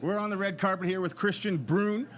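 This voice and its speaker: Goofy high-voiced male red carpet host. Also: steady tone, brisk, loud music behind the voice. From Canada. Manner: joking, hyped, goofy. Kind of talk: playful red carpet interviewing. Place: outdoor red carpet event.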